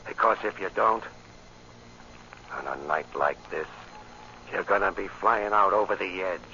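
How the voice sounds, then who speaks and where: Low-voiced, hard-edged American gangster boss. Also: male voice, unhurried, sharp tone, exaggerated voice. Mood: cold threat. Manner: threatening, tough-guy slangy talk. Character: menacing male crime boss, sneering at a young underling. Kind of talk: old-time American radio drama acting. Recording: muffled, hissy old radio recording.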